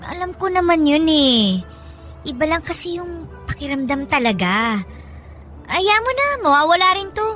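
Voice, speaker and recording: A woman's voice: sits high, sing-song, moderate pace, pauses often, sharp tone, soft background music, heavily acted. A woman playing an anxious young wife and mother in a radio drama, speaking in a hesitant, plaintive way.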